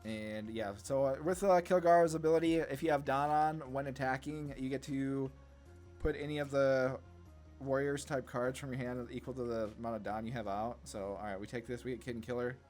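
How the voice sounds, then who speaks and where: A man with a medium-pitched voice talks through a card game in a casual, laid-back way. He thinks aloud like a gaming content creator, with faint music playing behind him. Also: fairly even intonation, a little fast, a little mellow.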